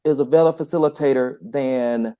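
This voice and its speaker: A man as a podcast guest in a casual sports chat, playful and thinking out loud as he weighs his choices. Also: mid-range voice, normal speed, clear, resonant tone.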